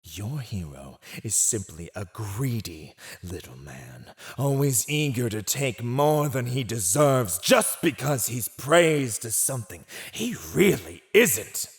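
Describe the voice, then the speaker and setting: A man's voice: medium pitch, big pitch swings. A man playing a silver-tongued villain warlord who taunts a captive in a theatrical, mocking, teasing way for an ASMR roleplay. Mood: cold, persuasive. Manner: teasing, mocking, theatrical, drawn-out delivery with chuckles.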